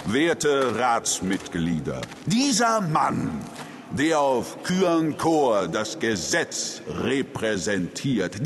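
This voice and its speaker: A man's voice: mid-range voice, slight background noise, heavily acted. Pompous councilman in a reverberant hall. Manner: pompous, deliberate, oratorical. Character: indignant, accusing male council elder. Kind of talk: dark fantasy audio drama. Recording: reverberant hall, faint music.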